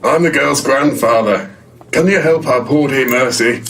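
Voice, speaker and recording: A man's voice: mid-range voice, measured pace, vocal fry, fairly bright tone, a little hiss or hum. An actor playing a protective, earnest old grandfather who is easily flustered, in an audio play. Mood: worried. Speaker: actor in an audio comedy drama.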